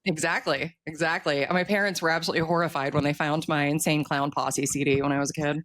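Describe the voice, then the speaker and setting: Low-voiced American woman chatting casually. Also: steady tone, fast talker, a bit bright, no room sound. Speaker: author being interviewed. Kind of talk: casual interview chat.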